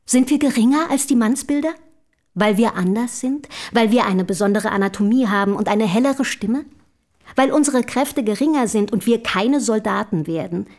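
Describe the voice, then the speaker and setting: An actress in a German historical radio drama playing an earnest, outspoken early feminist from the city, speaking formally and rhetorically. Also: female voice, a little high, moderate pace, a little mellow, close to an everyday voice. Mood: fervent, admiring.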